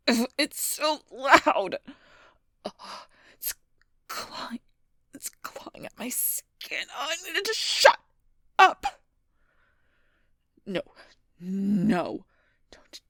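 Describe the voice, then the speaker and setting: A wounded, guarded female werewolf knight acted by a woman in an intimate audio roleplay. Her low voice is very husky and breathy, often sinking to a whisper. She speaks slowly and haltingly, straining through pain, and it all sounds close and dry. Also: a bit bright, dry recording, full character voice. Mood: agonized, craving, then panicked, threatening.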